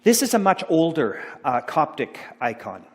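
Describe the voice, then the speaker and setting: A middle-aged man giving an informal sermon, rambling and halting with many fillers and restarts. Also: fairly high pitch, sing-song. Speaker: pastor. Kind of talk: informal sermon talk about slides. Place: church sanctuary. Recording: long church hall reverb.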